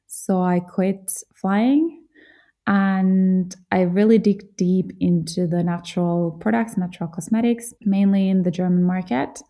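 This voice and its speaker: Mellow-voiced Finnish-born woman podcaster. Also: medium pitch, steady tone, unhurried. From Finland. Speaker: podcast host. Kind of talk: solo podcast monologue.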